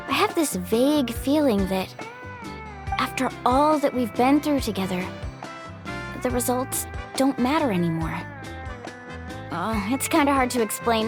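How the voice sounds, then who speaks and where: A woman playing an upbeat, friendly young island guide in an anime-style video game, with a breezy, expressive delivery. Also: fairly high pitch, moderate pace, natural voice. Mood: reflective. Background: music.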